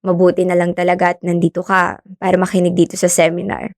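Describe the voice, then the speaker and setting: An actress playing a bubbly, earnest young marine scientist in a radio drama. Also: female voice, on the low side, fast, no room sound, clean studio sound, natural voice. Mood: friendly earnest. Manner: expressive, friendly teasing, earnest when explaining. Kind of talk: conversational radio drama acting.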